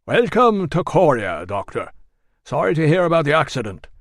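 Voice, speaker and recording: A man voicing a dignified middle-aged guild representative with a rich bass voice and a measured, formal manner. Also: very animated, dry recording, studio quality.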